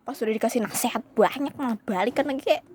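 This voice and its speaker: Chatty young woman with a high voice. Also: fast talker, darkish tone. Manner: chatty, says "guys" often, slips into English phrases. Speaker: vlogger. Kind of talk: casual storytime chat.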